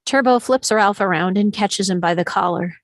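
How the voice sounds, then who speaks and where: A woman's voice: mid-range voice, normal speed, no room sound, clean studio sound. A woman reading stage directions as scripted narration at a table read, in a dramatic storytelling manner.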